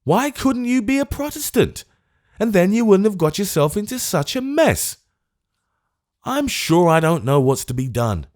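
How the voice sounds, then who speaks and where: A man's voice: high-pitched, sing-song, a little slow, darkish tone, voice pushed up. A man voicing a testy, fussy, self-important Lord Mayor. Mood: testy, fretful. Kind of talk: audiobook character voice.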